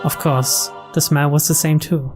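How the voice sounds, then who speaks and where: A man's voice: on the high side, level tone, a little slow, over loud music. A man reading a visual novel's story aloud as a dramatic narrator. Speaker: video game let's play narrator.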